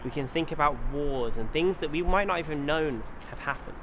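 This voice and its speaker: A man's voice: a little high, fairly quick, noisy background. A man giving an earnest scripted documentary narration, often posing rhetorical questions. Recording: loud music behind voice. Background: loud music.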